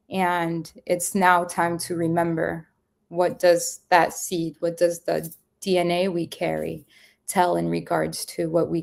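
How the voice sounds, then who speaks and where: A woman's voice: on the low side, vocal fry. A woman guest telling her personal story in an earnest, spiritual, meandering way with many fillers. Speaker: guest, event company founder. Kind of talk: reflective personal storytelling in conversation.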